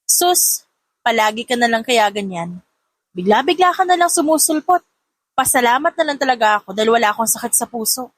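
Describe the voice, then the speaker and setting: A woman's voice: on the high side, expressive, brisk, no echo. A woman voicing a flustered, playful young mother in an audio romance, bashful and teasing.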